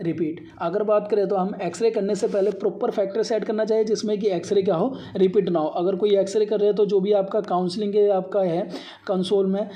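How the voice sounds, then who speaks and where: Mellow-voiced male radiography instructor. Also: high-pitched. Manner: repetitive, reads points out then re-explains them. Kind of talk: slide lecture, explaining point by point.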